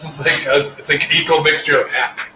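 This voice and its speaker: Man, thirties, rambling, laid-back, echoey room, loud background noise. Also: a little high, fairly even intonation, brisk. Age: 30s. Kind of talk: casual storytelling.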